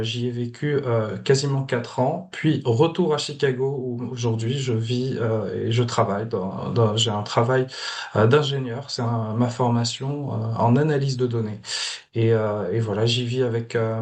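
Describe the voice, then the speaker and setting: A Moroccan-born man in his thirties talks over a video call at a middling pitch. He speaks hesitantly, stopping often on filler sounds as he tells his story. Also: darkish tone. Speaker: guest activist. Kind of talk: casual video call interview.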